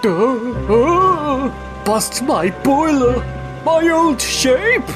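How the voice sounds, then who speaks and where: Man acting a timid cartoon steam engine, weary, hesitant, stammering, unhurried, music underneath. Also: very high-pitched, animated, exaggerated voice. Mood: anxious. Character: worried, timid, tired male steam engine. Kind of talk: cartoon-style character dub.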